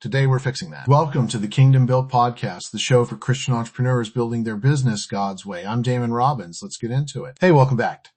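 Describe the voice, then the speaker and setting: A man's voice: mid-range voice, darkish tone. A man hosting a podcast, giving scripted motivational teaching in short, emphatic, earnest phrases. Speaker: podcast host.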